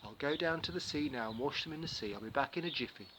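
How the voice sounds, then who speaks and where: A man voicing a gentle, fussily polite male turtle. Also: on the high side, lively intonation, fast, lightly acted. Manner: kindly, fussy politeness. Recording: loud background noise.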